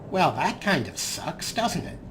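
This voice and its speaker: A man with a slightly high voice hosts a let's play in a casual, wry way. His sarcastic commentary sits in clearly noisy sound, with game ambience behind him. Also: sing-song, a little slow.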